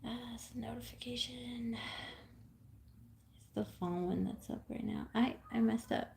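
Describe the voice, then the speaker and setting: Thirties woman, casual home streamer, slow rambling delivery, lots of ums and soft laughs. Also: on the high side, creaky, slight background noise. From California. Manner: knits while talking. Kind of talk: casual live-stream chat.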